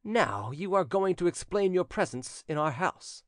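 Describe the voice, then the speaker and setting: Man playing a proud, scornful young fisherman, high-pitched. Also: animated, moderate pace, a little mellow, no echo, studio quality. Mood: stern demand. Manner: haughty, scornful, declamatory. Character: proud, indignant young fisherman, protective brother.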